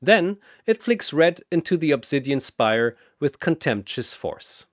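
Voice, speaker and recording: A man narrating a fantasy battle in a bombastic, theatrical style with drawn-out emphasis, like an arena announcer. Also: on the high side, sing-song, dry recording, clean studio sound.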